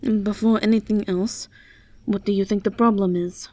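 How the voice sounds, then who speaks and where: A woman voicing an authoritative, composed and wry academy professor in an amateur fantasy audio drama, with a measured, instructive manner. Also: medium pitch, fairly even intonation, a little mellow, no room sound, lightly acted.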